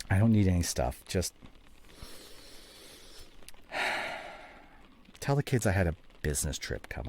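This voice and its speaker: Estranged husband played by a male actor, audio drama: deep, husky, emotional and wounded, very wide-swinging delivery. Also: a little mellow, a little hiss or hum, heavily acted. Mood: defeated, resentful. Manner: emotional, halting, swinging from muted to heated. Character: estranged husband and father, guilt-ridden, defensive, wounded. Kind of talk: dramatic audio roleplay, one side of a conversation.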